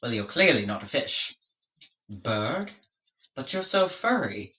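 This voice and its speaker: A pompous colonial explorer, voiced by a storyteller, pesters a creature with nervous excitement. The voice is bright and quick to jump up in pitch. It sounds roomy and a bit distant. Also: muffled recording, a little hiss or hum, full character voice. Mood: fussy. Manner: fussy, excitable. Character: pompous English explorer-scientist.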